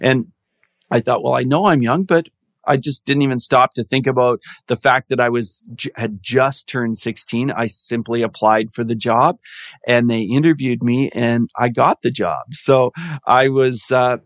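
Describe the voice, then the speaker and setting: A man, a podcast guest, telling his story in a calm, reflective, measured way. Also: medium pitch, dry recording. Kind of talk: interview storytelling.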